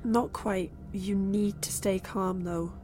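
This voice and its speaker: An actress playing a calm woman held captive on an alien ship, speaking in a soothing, steady way. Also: mid-range voice, takes it slowly, slight background noise, close to an everyday voice. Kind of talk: audio drama acting.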